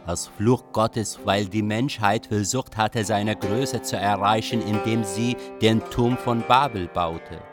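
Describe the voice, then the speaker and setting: A man acting as a reflective Iraqi storyteller, pensive and measured, in a literary radio play. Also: fairly low pitch. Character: reflective male Iraqi storyteller recalling childhood. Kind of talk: literary first-person radio play narration.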